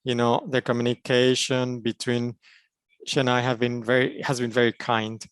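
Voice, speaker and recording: A man interprets a conference panel, moving through formal phrases in short, steady chunks. His voice sits at an ordinary male pitch. The sound is dry and close. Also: normal speed, smooth, no echo.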